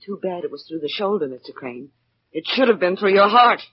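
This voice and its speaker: A woman's voice: fairly low pitch, animated, a little fast, muffled recording, slight background noise, close to an everyday voice. An actress playing a defiant, spirited young woman in a 1940s radio drama, on an old recording.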